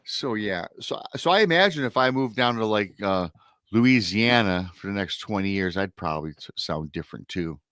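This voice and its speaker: Middle-aged michigan guy hosting a chat show, rambles, lowish bright voice, dry sound. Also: animated, normal speed, no echo. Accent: Michigan American. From Michigan, USA. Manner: rambling, repeats himself. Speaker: talk show host. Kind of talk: casual chat.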